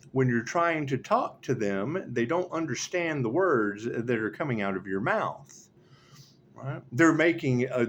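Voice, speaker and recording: Sarcastic, swearing man, lively pitch. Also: slight background noise. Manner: profane, sarcastic, laughs often. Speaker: tarot reader. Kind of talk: rambling monologue.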